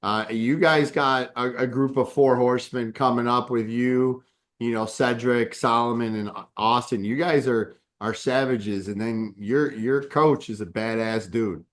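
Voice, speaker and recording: A man's voice: mid-range voice, steady tone, a little slow. A man hosting a casual MMA podcast interview who asks long, hyped-up questions and often stumbles and repeats words. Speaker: podcast host.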